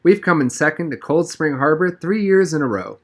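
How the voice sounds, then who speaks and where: A man's voice: a little high, lively intonation, moderate pace, voice pushed up. A man reading a storybook as an eager, friendly, sincere schoolgirl from a gifted class. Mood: friendly, generous.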